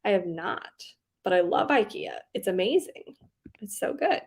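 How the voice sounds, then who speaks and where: A woman's voice: mid-range voice, lively intonation, moderate pace, slightly rough. A young woman hosting a livestream, chatting casually and rambling with lots of fillers, a faint Southern accent slipping into some words. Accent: slight Southern US on some words. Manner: rambling, giggly, lots of likes and ums. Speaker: booktube livestream host. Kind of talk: casual chat reading live comments.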